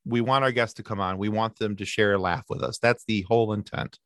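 A man guesting on a podcast, telling stories in a lively chatty way with quick asides and restarts. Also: lowish voice, dry recording. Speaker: podcast guest, sci-fi podcast co-host. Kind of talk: casual interview chat.

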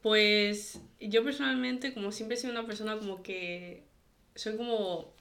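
A woman's voice: mid-range voice, steady tone, unhurried, a bit bright. A young woman from talks casually as a podcast guest, explaining things informally. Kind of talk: casual personal interview.

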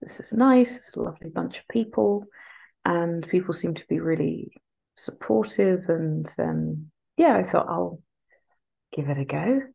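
A woman's voice: on the low side, expressive, a little slow, vocal fry, warm tone, rough recording. A woman in her thirties from England, a podcast guest telling rambling, self-deprecating stories. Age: 30s. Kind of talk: casual interview chat.

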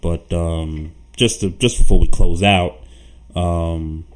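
Deep-voiced relaxed sports podcaster. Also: male voice, slow pace. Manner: relaxed, stammers and repeats words. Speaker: podcast co-host. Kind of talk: casual sports talk.

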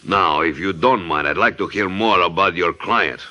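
An actor playing a smooth, menacing gangster with a mocking manner in a radio detective drama. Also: male voice, low-pitched, moderate pace, pushed and bright. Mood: smugly menacing. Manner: mocking, falsely genial, broken grammar.

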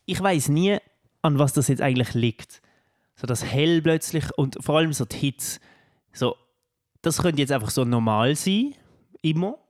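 A man's voice: fairly high pitch, normal speed. A young man with a Swiss German accent, co-hosting a podcast in casual, slangy chat. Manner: slangy and animated, keeps saying "bro". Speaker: podcast co-host.

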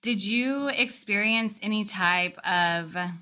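Bright-voiced woman hosting a podcast. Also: medium pitch, slow pace. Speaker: podcast host. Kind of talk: chatty podcast introduction and interviewing.

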